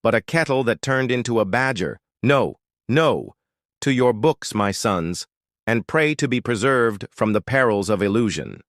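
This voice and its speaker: A man's voice: mid-range voice, expressive, a little slow, fairly bright tone, no room sound, studio quality. A man playing a pious old priest from a storybook, pompous, exclamatory and easily rattled. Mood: dismissive.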